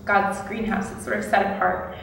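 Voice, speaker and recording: Woman, vocal fry, little pitch movement, tentative interview explanation, big echo. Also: flat delivery, normal speed, fairly bright tone. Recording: very echoey hall, distant, noisy.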